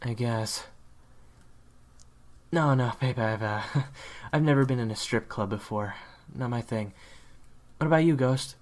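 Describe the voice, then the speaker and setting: A man's voice: mid-range voice, measured pace, pauses often, close to an everyday voice. Supporting role: male actor as a dry, sarcastic crew member, mid-range voice. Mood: casual. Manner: wry and level, sarcastic. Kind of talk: audio drama acting.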